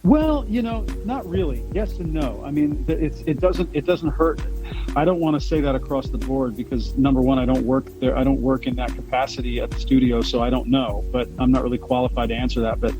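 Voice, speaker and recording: Baritone guy talking shop on a podcast, trails off and restarts a lot, music playing behind. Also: a little fast, low-quality recording. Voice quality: deep baritone voice. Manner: rambling, many restarts and repeated words. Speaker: voice actor guest. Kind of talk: podcast interview answers.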